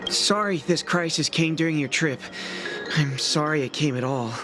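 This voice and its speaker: A man's voice: on the high side, normal speed, lightly acted. A man voicing an anxious, frank and weary young apprentice craftsman in a video game, with a light youthful voice. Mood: nervous, deflated. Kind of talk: video game character acting. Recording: loud game music behind voice. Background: game music.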